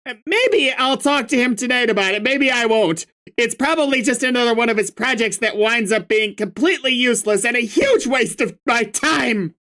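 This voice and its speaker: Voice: medium pitch, expressive, moderate pace, hardly any pauses, sharp tone, pitched above the performer's own voice. A reader voicing an irritable young male troll who rants and hurls insults in exasperation. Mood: irritated. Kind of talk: reading a webcomic aloud with voices.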